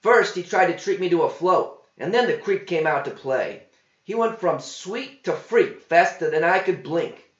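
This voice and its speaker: A man's voice: high voice, expressive, normal speed, pitched above the performer's own voice. Sassy, dismissive diner waitress for a storybook, played by a man, raised voice, roomy recording. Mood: indignant. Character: brash diner waitress, sassy young woman. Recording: roomy, slightly dull home recording.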